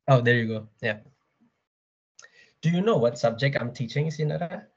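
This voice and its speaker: A man's voice: mid-range voice, lively intonation, moderate pace. A man with an Indonesian accent, a lecturer giving an upbeat, coaxing online class to schoolchildren, with frequent ums and uhs. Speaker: university language lecturer. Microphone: video call. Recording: dull lo-fi video call audio.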